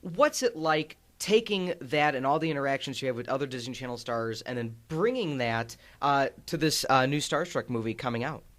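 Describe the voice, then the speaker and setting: A man's voice: medium pitch, expressive, moderate pace, few pauses, fairly bright tone. Upbeat chatty radio host man. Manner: upbeat, chatty, laughs easily. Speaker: radio sports show host. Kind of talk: radio interview. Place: radio studio.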